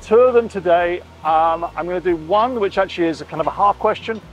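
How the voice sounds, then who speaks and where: A man's voice: high voice, fairly quick, faint music behind, poor audio. A man in his forties giving an enthusiastic, emphatic walk-through tour to camera as a vlog host, repeating phrases and wearing a lapel mic. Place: inside a boat under construction and a large boatyard shed. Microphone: clip-on lapel mic.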